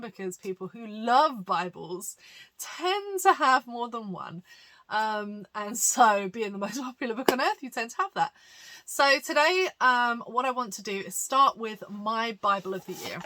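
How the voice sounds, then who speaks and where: A woman's voice: mid-range voice, pushed and bright. A woman pastor talking through a topic in a chatty, explanatory way, with frequent "um"s and light laughs. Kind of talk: conversational teaching monologue.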